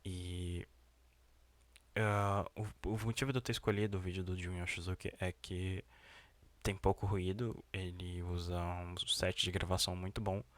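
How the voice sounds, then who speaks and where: A young man with a very deep voice talks through his research project in a loose, casual way. He hesitates often, fills pauses and restarts his sentences. The sound is close and completely dry, with no room around it. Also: little pitch movement, dry recording. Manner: hesitant, many fillers and restarts. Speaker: student presenting a research project. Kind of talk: casual screen-share walkthrough.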